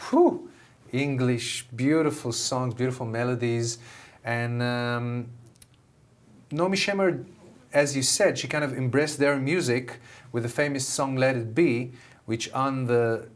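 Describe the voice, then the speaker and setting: Man, israeli accent, middle-aged, enthusiastic, mid pitch, halting restarts. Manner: enthusiastic, many fillers and restarts. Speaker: musician guest. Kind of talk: TV interview answers.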